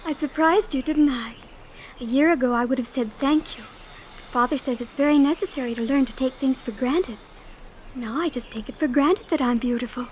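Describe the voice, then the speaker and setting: A woman's voice: sits high, brisk, a little mellow, slight background noise, lightly acted. A woman playing a self-assured, sheltered teenage rich girl with artless candor, in old-time radio drama style. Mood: breezily self-assured. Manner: artless, matter-of-fact candor.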